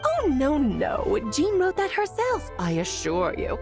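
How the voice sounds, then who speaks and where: Mischievous game sorceress: airy, affectionate tease, high voice with animated swoops. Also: female voice, takes it slowly, creaky, music in the background, heavily acted. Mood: playfully smug. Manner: airy, theatrical, affectionate teasing. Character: playful mischievous mage mother, theatrical and smug. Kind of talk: video game voice acting.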